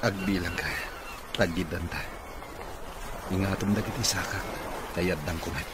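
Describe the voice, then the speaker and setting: Stern ilocano boss, low voice, radio drama, noisy recording. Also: male voice, steady tone, measured pace. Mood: stern, determined. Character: stern, suspicious male boss in a wheelchair. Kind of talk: Filipino radio comedy-drama acting.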